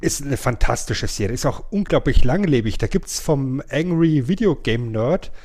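A man's voice: mid-range voice, animated, moderate pace, a little mellow. A middle-aged man, co-hosting a podcast in an informal, explanatory way. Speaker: podcast co-host. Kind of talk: casual podcast chat.

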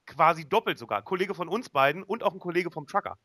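A man's voice: on the high side, quick pace, pushed and bright, dry recording, clean studio sound. A man, hosting a casual podcast chat. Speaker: podcast host.

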